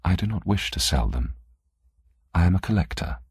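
A man voicing a poised, imperious woman collector in an audiobook, calm and calculating, with formal, commanding speech. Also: low-pitched, moderate pace, breathy edge, no echo, clean studio sound, full character voice. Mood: curt.

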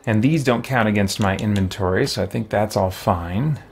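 A middle-aged man giving casual gameplay commentary, thinking aloud as he deliberates over his choices. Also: fairly low pitch, a little hiss or hum. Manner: musing, deliberating out loud. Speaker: video game streamer. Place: indoor room with shelves.

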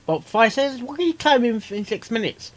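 A man's voice: very high voice, animated, fairly quick. A man hosting a casual reaction video, talking in a rambling, repetitive way. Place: small cluttered room indoors.

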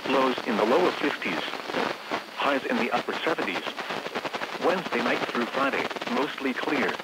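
A synthetic man's voice with an American accent reads scripted weather forecasts as a radio announcer, measured, impersonal and formulaic. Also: mid-range voice. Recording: noisy weather radio broadcast reception.

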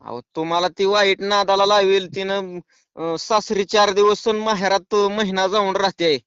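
Smooth-voiced cheeky man, rural Maharashtrian accent. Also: sits high, flat delivery, normal speed, fairly bright tone, dry recording, muffled recording. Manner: cheeky, persistent, pleading. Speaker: prank caller. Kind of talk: comic prank phone call.

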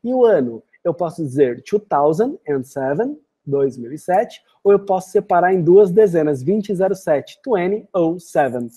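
A Brazilian-accented man teaches English phrases in a screen-recorded lesson. His voice is dark and mellow with a breathy, husky edge. He speaks the example sentences slowly and carefully before translating them. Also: sits high, animated. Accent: Brazilian Portuguese. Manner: slow, deliberate model phrases alternating with translations.

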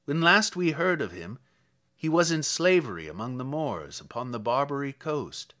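A man voicing a kindly old village priest who recalls the dead, reading dramatic poetry in a measured, solemn, sorrowful way. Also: medium pitch, sing-song, pushed and bright.

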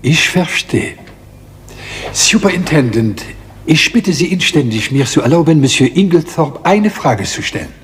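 An actor playing a fussy, courteous foreign detective with a French accent, formal and pompous in a German radio crime drama. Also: male voice, on the high side, animated, unhurried, big-room sound, slight background noise. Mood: courteous, insistent.

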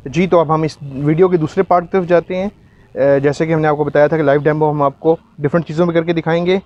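A man's voice: fairly high pitch, fairly even intonation, quick pace, darkish tone, highs cut off. A man with a Pakistani accent giving an instructional live product demonstration outdoors, explaining as he goes. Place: outdoors, on a street and a rooftop.

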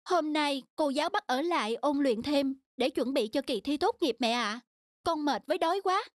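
A high-voiced, plaintive cartoon schoolgirl. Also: lively intonation, moderate pace, no room sound, clean studio sound. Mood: weary. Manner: plaintive, complaining. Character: weary, stubborn schoolgirl daughter, emotional and pleading. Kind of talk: cartoon drama acting. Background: children's style background music.

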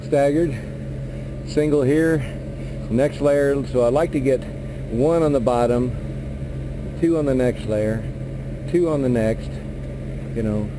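A middle-aged man talks in a relaxed, plain-spoken way as he walks around his truck outdoors. His voice sits at an ordinary pitch for a man. A handheld action camera picks it up with clear wind and yard noise behind him. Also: slow pace, long pauses. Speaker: truck driver. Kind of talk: casual vlog walkthrough. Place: outdoor industrial yard beside a flatbed trailer. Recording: noisy outdoor camera audio.

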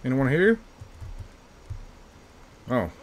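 A man's voice: medium pitch, huge pitch swings, very slow, slight background noise. A man giving casual let's-play commentary, chatty and self-mocking, repeating himself when things get tense. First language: not English, by his own joke. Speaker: gaming YouTuber.

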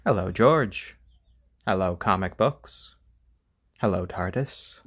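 A man hosting a casual live stream at home on his phone, speaking gently to viewers and to baby chicks. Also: low voice, expressive, slow pace, breathy edge. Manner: gentle, soft-spoken, talks to chat and chicks. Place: home room. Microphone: handheld phone.

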